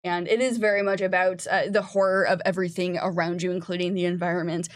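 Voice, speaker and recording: A woman's voice: on the low side, flat delivery, quick pace. A woman in a casual panel chat about games, explaining things with enthusiasm as a game designer.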